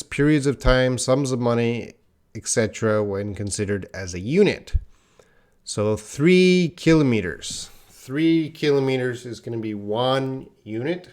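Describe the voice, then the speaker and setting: A slow, unhurried man from Canada teaches English grammar in a live online class. He explains the rules patiently and stops to answer viewers' comments as they come in. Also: medium pitch.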